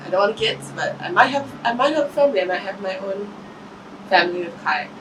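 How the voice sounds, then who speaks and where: A woman's voice: mid-range voice, animated, a little fast, recorded from a distance. A woman in her early twenties talking through a reflective personal vlog, thoughtful and self-correcting, with some casual swearing. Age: 20s. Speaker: vlogger. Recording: voice a bit off-mic with room noise.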